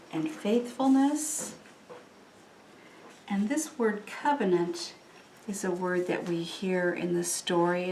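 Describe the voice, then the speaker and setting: A woman giving an informal, reflective children's church lesson, thinking aloud with halting pauses, recorded at a distance in a roomy space. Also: on the low side, gravelly. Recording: roomy, distant mic, faint noise.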